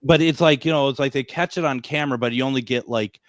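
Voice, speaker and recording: A man's voice: mid-range voice, fast talker, dry recording. A man hosting a radio interview, chatty and often repeating words as he thinks aloud. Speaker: radio show host. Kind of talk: casual interview conversation.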